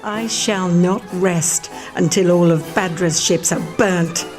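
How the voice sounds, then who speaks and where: An actress playing a determined, vengeful seafaring adventurer with deliberate intensity in an audio drama. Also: female voice, lowish voice, fairly even intonation. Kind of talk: swashbuckling audio drama acting. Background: dramatic music.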